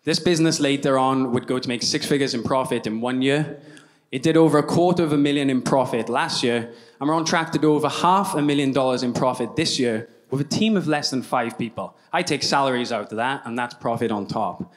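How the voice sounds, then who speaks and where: A man's voice: mid-range voice, a little fast. A young man with a Welsh accent telling stories with self-deprecating humor in a keynote talk on a conference stage, speaking into a handheld mic. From Wales. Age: young adult. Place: large conference hall stage.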